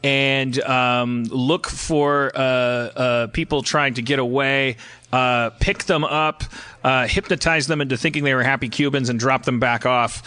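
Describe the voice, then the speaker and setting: A man with a bright, forceful voice of middling pitch plays along on a comedy panel. He improvises absurd riffs, often halting and regrouping as he goes. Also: fairly even intonation, smooth. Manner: halting, riffing on absurd ideas.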